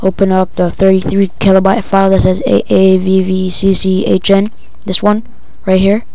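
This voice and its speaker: A female-sounding speaker giving a casual, halting, improvised step-by-step software tutorial. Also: mid-range voice, monotone, creaky, no room sound. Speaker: tutorial presenter. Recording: dull lo-fi home recording.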